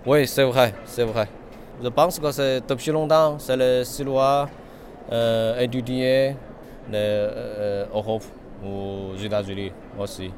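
A young man with a Chinese accent, an artist answering interview questions in careful, halting French full of pauses and fillers. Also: medium pitch, level tone, darkish tone, slight background noise. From China.

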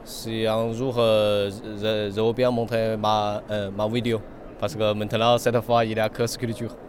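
A man's voice: on the low side, flat delivery. Young Chinese-accented male artist, hesitant non-native speech, light outdoor traffic noise. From China. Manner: halting non-native French, frequent pauses and fillers. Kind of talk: interview answers.

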